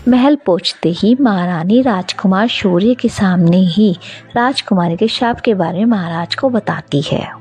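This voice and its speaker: A woman's voice: mid-range voice, moderate pace, a little mellow, slightly rough. A woman reading scripted narration for a cartoon fairy tale.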